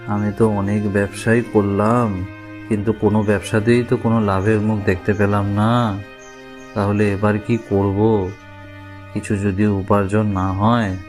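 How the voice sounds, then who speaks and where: A man's voice: lowish voice, a little mellow, close to an everyday voice. A man playing a worried, luckless village husband and trader in a cartoon moral tale, plaintive and earnest.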